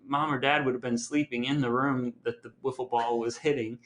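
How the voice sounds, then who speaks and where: A man's voice: mid-range voice, steady tone, a little fast, a bit bright, low-quality recording. A man hosting a podcast in a conversational style, talking to listeners and reminiscing casually. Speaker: podcast host.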